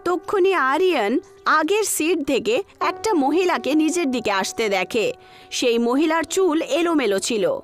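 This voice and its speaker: A woman's voice: high voice, animated, unhurried, soft background music. A woman reading scripted narration for a horror story.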